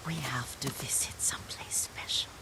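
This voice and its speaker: An actress playing a gentle, wistful elderly woman, a lord's devoted caretaker, in a video game, with a soft, breathy, reflective delivery. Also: extremely low pitch, fairly even intonation, in a whisper. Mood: reflective, frail. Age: older. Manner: slow, tender, reflective. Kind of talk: dramatic video game acting.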